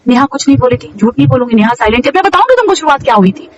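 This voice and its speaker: A woman's voice: high-pitched, big pitch swings, racing, far from the mic, dull audio, slight background noise. A woman in a heated, informal phone conversation, speaking emphatically and repeating words insistently, on a call recording.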